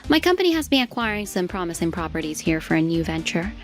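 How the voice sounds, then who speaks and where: A woman's voice: lowish voice, very animated, fast talker. A woman playing a poised, self-assured CEO in her thirties in a manga dub, gracious and coy, with music under her. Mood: unruffled. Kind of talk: dramatic manga dub voice acting.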